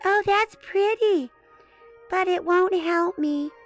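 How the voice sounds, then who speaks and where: A woman voicing a shy, hopeful young girl snake in a gentle, high, polite voice. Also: takes it slowly, faint music behind. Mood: sweet hopeful.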